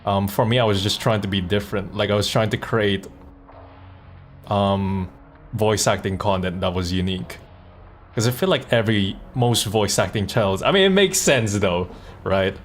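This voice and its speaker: A man's voice: lowish voice, moderate pace, a little hiss or hum. A man who streams and voice acts, chatting informally and thinking aloud in hesitant bursts. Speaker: streamer and comic dub voice actor. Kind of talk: casual livestream chat.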